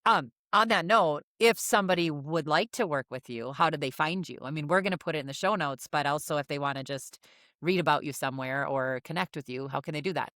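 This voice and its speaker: A woman's voice: deep voice, fairly quick, fairly bright tone, dry recording, clean studio sound. Encouraging woman hosting a podcast. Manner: encouraging, affirming, frequent "yeah". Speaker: podcast host. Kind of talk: hosting a casual podcast interview.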